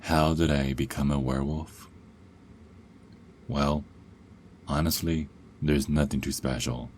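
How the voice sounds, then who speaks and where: A man's voice: rumbling low, steady tone, slow, a little mellow, slight background noise. A man playing a cocky, sarcastic young adult werewolf held captive, in an ASMR roleplay with a taunting, sardonic drawl. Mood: wry storytelling.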